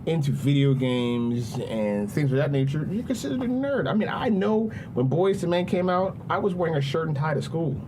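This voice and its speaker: A man from suburban Maryland chatting on a podcast, emphatic and animated, repeating himself for stress. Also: on the high side, normal speed, hardly any pauses, noisy background. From suburbs of Prince George's County, Maryland. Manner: animated, emphatic storytelling with repetitions. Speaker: podcast guest. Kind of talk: casual podcast chat.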